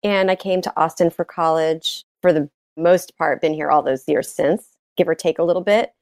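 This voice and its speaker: A woman's voice: low voice, fairly even intonation, moderate pace, darkish tone, dry recording. A middle-aged woman from Texas answering interview questions in a casual, reflective conversational way. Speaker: interview guest, gun violence prevention advocate.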